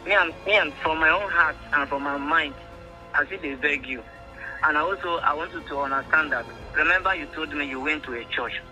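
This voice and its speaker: A man's voice: fairly high pitch, a little fast, sharp tone. A man with a Nigerian accent talking earnestly in a mix of English and Pidgin, pleading and emphatic, often repeating his points. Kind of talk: earnest phone conversation in mixed English and Pidgin. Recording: phone call with music behind.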